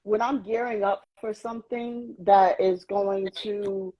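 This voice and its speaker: A woman's voice: medium pitch, steady tone, measured pace, warm tone. A woman from Queens, New York, reflecting as a podcast guest in casual chat, with many "um" and "you know" fillers. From New York City, Queens. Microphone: phone on a live social video stream. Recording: lo-fi livestream audio.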